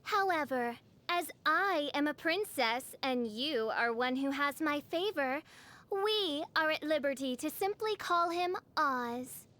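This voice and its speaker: High-voiced grandiose teenage dark princess. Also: female voice, lively intonation, takes it slowly, exaggerated voice. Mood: haughty, solemn. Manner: pompous, archaic, theatrical diction. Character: theatrical teenage girl self-styled dark princess, grandiose, dramatic. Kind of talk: video game character voice lines.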